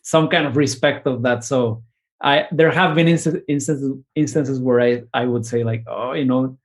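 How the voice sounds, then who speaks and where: A man speaking, at a middle pitch for a man. He talks about his work in a thoughtful, searching way and often restarts phrases. His sentences tend to end on a questioning "no?". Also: fairly even intonation, normal speed. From Mexico. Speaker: composer. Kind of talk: conversational interview.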